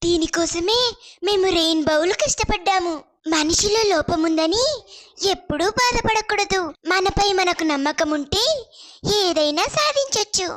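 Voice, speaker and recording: An earnest, dutiful young woman in a storybook cartoon, acted by a woman. Her voice is very high and her manner polite. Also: normal speed, a bit bright. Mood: earnest, happy.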